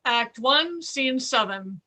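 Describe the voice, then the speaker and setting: Bright, slow-paced woman narrator. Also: high voice, expressive. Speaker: stage directions reader. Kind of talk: scripted narration.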